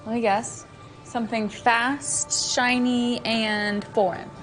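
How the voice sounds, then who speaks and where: An actress playing a food judge who speaks in a playful, teasing way. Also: female voice, fairly high pitch, flat delivery, slow pace, a bit bright, poor audio. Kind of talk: film dialogue. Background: background music.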